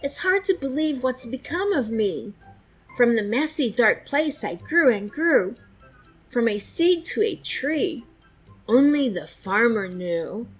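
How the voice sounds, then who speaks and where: Woman voicing a high-pitched tiny childlike seed. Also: sing-song, slow pace, breathy edge, faint music behind, exaggerated voice, low-quality recording. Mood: proud, wondering. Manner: high, plaintive, exclaiming storybook voice. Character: tiny scared lonely seed, childlike and earnest.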